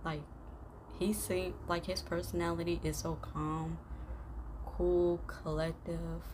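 A young woman host giving casual, slangy, halting reaction commentary that often repeats itself. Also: lowish voice, flat delivery. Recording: noisy room recording.

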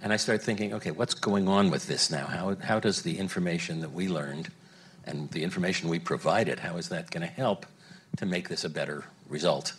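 Man in his late seventies, retired lawyer; low, husky, thoughtful panelist. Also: a little fast. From Los Angeles area. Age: 70s. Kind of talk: panel discussion. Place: large conference stage. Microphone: handheld mic.

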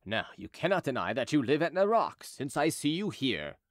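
A man's voice: a little high, big pitch swings, moderate pace, no echo, studio quality. A man voicing a young patrol officer, grave, formal and polite, in an audiobook. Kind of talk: audiobook character dialogue.

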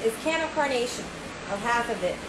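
A woman in her thirties talks through a home recipe step by step, chatty and relaxed, often asking "right?". Her voice sits high for a woman. She is recorded on a phone in a home kitchen that rings with echo, with steady background noise behind her. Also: fairly quick. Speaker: home cook host. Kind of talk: casual cooking demo. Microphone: phone camera at arm's length.

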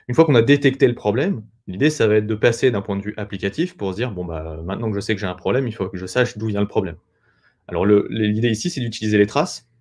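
A young man presents a technical topic in a webinar. His voice sits slightly low and he talks quickly. He explains things in an informal, conversational way, posing questions and answering them himself. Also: darkish tone. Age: young adult. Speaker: sales engineer presenting. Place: small indoor room on a video call.

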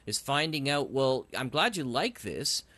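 Male podcast host, bright forward voice, dry close sound, feels his way through roundabout questions. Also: medium pitch, big pitch swings, unhurried, no room sound. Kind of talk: conversational interview.

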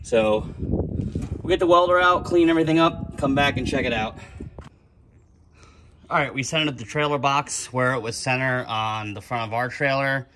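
A man hosting a casual how-to video, narrating a trailer upgrade outdoors in a relaxed, plain-spoken way while holding the camera. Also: mid-range voice, moderate pace, long pauses, a little hiss or hum. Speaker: DIY channel host. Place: outdoors on a concrete driveway. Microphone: camera mic, one hand on camera.

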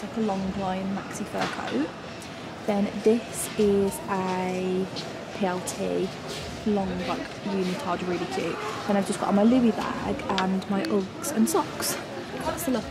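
A woman, chatting excitedly in a casual shopping vlog, filmed on a handheld phone inside big stores. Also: mid-range voice, very noisy. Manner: gushing, upbeat, lots of "like". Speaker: vlogger. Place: large indoor shopping stores. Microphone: handheld phone at arm's length. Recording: loud store ambience behind voice.

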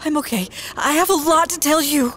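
A woman's voice: high voice, a little fast, fairly bright tone, slight background noise. A woman playing an earnest, worried character in serious audio drama dialogue.

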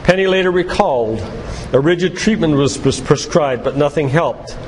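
An older man speaks like a lay preacher, telling stories and reading quotations deliberately and earnestly. His voice sits a little high for a man. It rings with long reverb in a church hall, with noise behind it. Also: expressive. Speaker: physician and lay preacher giving a church talk. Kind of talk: sermon-like lecture with read-out quotations. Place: church hall, live talk to congregation.

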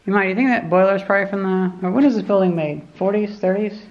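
A woman's voice: mid-range voice, quick pace. Curious woman in an echoey basement. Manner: curious, technical, lots of fillers. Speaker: vlogger exploring a basement. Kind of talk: casual exploring commentary. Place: cluttered basement boiler room. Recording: echoey basement, dull camera audio, faint hiss.

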